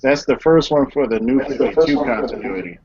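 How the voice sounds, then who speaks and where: A man's voice: mid-range voice, normal speed, crowd noise. A man explaining things informally as a panelist in a group discussion. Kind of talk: casual explanatory chat. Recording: dull lo-fi recording.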